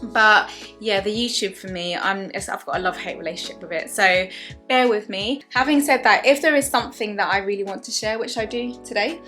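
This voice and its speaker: A woman's voice: medium pitch, fairly quick, sharp tone, faint music behind. A woman of about thirty chatting earnestly to camera as a vlogger, with many restarts and fillers. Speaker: wellness vlogger. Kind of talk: casual talk to camera.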